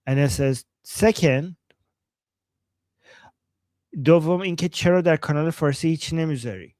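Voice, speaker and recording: A man's voice: on the high side, rapid-fire, a little mellow, dry recording. A man hosting a live stream, chatting casually as he reads out and answers viewer messages, often repeating phrases for emphasis. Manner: switches between English and Persian, translating aloud.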